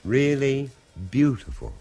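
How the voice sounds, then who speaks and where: An actor playing an urbane, reserved and wry gentleman, measured and refined, in a 1970s radio horror drama. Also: mid-range voice, big pitch swings, gravelly, exaggerated voice. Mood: polite, musing. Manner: measured, refined, theatrical delivery.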